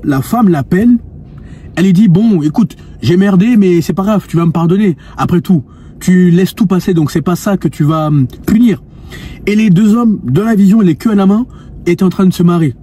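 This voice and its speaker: A man's voice: high voice, animated, normal speed, warm tone, slightly rough. A man in his twenties, a coach speaking earnestly to the viewer about spirituality and women, telling an anecdote with many restarts. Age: 20s. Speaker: dating and lifestyle coach. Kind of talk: monologue addressed to the viewer.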